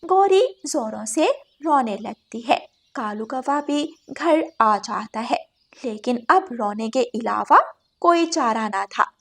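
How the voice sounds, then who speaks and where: Slow-paced female storybook narrator. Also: on the high side, expressive, vocal fry, fairly bright tone. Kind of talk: scripted children's story narration.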